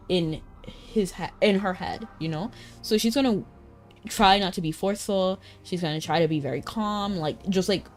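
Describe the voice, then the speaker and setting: A woman's voice: lowish voice, a little mellow. A woman streamer giving casual, playful running commentary on a game story in a chatty, slangy way. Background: faint game music.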